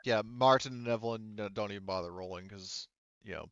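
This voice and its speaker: A man's voice: lowish voice, fairly quick, fairly bright tone, dull audio. A man acting as game master, casually narrating a tabletop game in an informal, joking way with some swearing.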